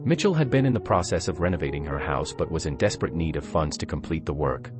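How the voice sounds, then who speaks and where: A man's voice: very deep voice, lively intonation, fast talker, over music. A man reading scripted narration for a true crime documentary.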